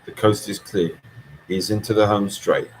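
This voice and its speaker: A man reading a story aloud in an expressive audiobook style. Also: on the low side, moderate pace, a little hiss or hum, low-quality recording. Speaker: author reading own novel.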